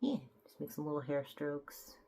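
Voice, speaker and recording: A woman's voice: low voice, animated, moderate pace, warm tone. Chatty young woman, slightly stuffy. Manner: chatty, self-deprecating, explains step by step. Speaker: makeup tutorial creator. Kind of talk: casual makeup tutorial narration.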